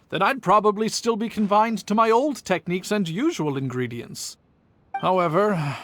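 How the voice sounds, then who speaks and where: A man playing an earnest, polite young bartender in a video game, modest and a little hesitant. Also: high-pitched. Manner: polite, slightly hesitant, thoughtful. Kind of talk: English video game voice acting.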